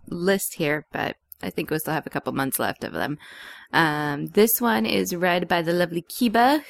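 A woman's voice: on the low side, vocal fry, no room sound. A woman hosting a podcast, giving a casual spoken introduction. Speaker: podcast host.

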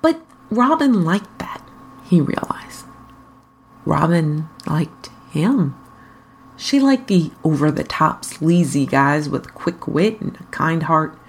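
Female fiction reader: low register, husky tone, slow scripted delivery. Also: animated. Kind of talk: scripted story narration.

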